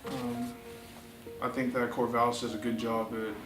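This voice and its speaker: A plainspoken man from San Diego speaks at a middle pitch in a flat, even tone. He sounds distant in an echoey room, with music playing behind him. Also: moderate pace. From San Diego, California. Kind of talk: documentary interview answers.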